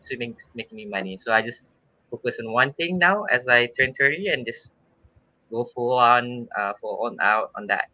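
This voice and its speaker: A man's voice: medium pitch, expressive, moderate pace, no echo. A man in his thirties from Malaysia, a swimming coach talking easygoingly with many fillers and some laughter. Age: 30s. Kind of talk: casual podcast interview. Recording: dull lo-fi sound.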